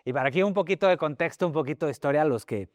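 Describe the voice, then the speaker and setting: A man's voice: a little high, expressive, racing, no room sound, clean studio sound. A man with a Mexican accent hosting a podcast, talking in a casual, conversational way. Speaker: podcast host.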